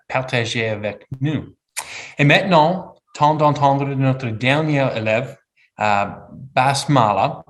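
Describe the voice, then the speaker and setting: A man hosting a ceremony, formally introducing each speaker. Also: medium pitch. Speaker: event host. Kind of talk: ceremony emcee.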